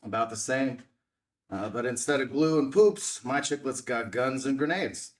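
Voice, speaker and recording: A man's voice: mid-range voice, animated, moderate pace, lightly acted. A man reading the part of a wry, affectionate, hungover reporter in his forties at a script table read.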